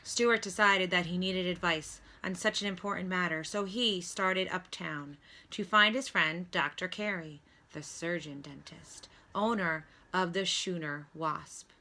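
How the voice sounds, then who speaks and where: A woman reading a children's storybook aloud like a teacher, with deliberate, instructive delivery. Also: fairly low pitch, pushed and bright. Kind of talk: read-aloud storybook narration with lesson prompts.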